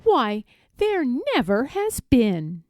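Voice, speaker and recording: A scornful mother kangaroo from a storybook, acted out by a woman. She is haughty and sour, drawing out her mockery slowly with big swings in pitch. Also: wildly swooping pitch, darkish tone, no echo, studio quality, full character voice. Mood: dismissive. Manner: haughty, mocking, dismissive. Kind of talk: children's storybook read-aloud.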